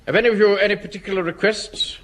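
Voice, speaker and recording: An actor with an English accent playing a pompous, easily offended middle-aged Home Guard captain in a radio sitcom. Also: male voice, high-pitched, fairly even intonation, fast talker, fairly bright tone. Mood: jovial, self-important. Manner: pompous, hesitant throat-clearing. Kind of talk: British radio sitcom acting. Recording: hissy old radio comedy recording.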